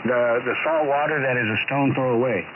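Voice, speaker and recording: A man's voice: medium pitch, a little fast. A man chatting casually and a little hesitantly as an amateur operator over two-way radio. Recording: very noisy, narrow-band shortwave radio transmission.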